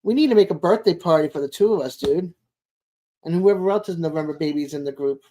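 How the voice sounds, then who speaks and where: A woman in her sixties chatting casually as a live stream host, in a rambling, chatty way with frequent pauses. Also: deep voice, dark tone, rough recording. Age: 60s. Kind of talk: casual chat with live viewers.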